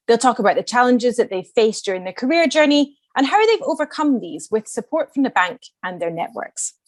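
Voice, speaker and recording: A woman hosts a careers session and reads a polished, scripted introduction. Her voice is fairly high. The sound is close and dry, with no room echo. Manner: polished, read-out tone. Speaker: event facilitator.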